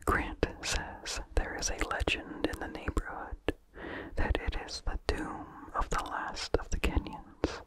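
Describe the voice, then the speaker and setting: Slow, hushed whispering ASMR narrator. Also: poor audio. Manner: soft, unhurried whispering, measured diary-style reading. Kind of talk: whispered ASMR reading of a vintage horror story.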